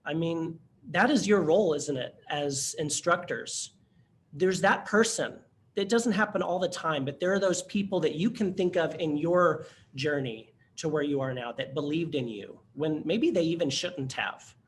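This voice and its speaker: A man's voice: a little high, normal speed. A man presenting a webinar to instructors, speaking earnestly and conversationally with frequent fillers and restarts. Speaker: workshop presenter.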